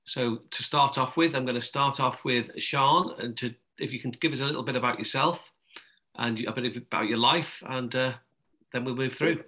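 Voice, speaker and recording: Bright-voiced male webinar host. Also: medium pitch, moderate pace. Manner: friendly, joking, loosely phrased. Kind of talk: hosting a webinar panel. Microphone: video call.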